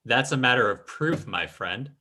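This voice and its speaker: A man playing a slippery, defiant racketeer in an old-time radio drama, with a drawn-out, taunting delivery. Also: medium pitch, lively intonation, sharp tone, slight background noise.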